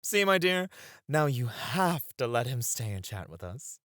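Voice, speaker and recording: A man's voice: medium pitch, very animated, a little slow, fairly bright tone, no echo, clean studio sound. A man playing a flirty, doting and cheekily possessive club host in an ASMR roleplay, suave and coaxing. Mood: flirty, teasing. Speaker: voice actor.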